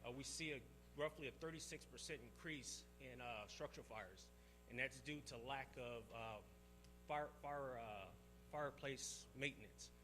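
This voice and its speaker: Halting man giving a fire department report. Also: mid-range voice, music in the background. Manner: halting, many fillers and restarts. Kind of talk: council meeting report.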